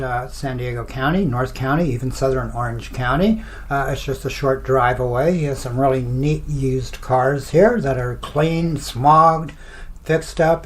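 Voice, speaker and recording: A radio host chatting and promoting in a conversational, halting way. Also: mid-range voice. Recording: clear background noise.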